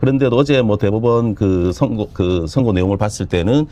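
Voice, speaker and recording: A man's voice: on the low side, fairly quick, mellow. A man from Busan commenting on a court ruling as a panel guest, hedging with many fillers. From Busan, South Korea. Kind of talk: political commentary.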